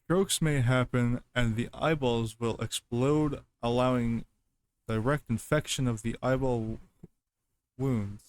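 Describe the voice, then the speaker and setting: A man doing casual reaction commentary and reading aloud, halting as he goes and repeating himself over stumbles. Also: mid-range voice, fairly even intonation. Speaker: reaction video host.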